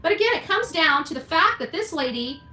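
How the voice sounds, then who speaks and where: Really high bright voiced lady host going off on a rant, scolding, repeats herself, echoey room. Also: big pitch swings, brisk. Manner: indignant, scolding, repeats phrases for emphasis; blunt, mildly profane. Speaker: livestream show host. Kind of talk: impassioned opinion rant. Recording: echoey room, faint music behind.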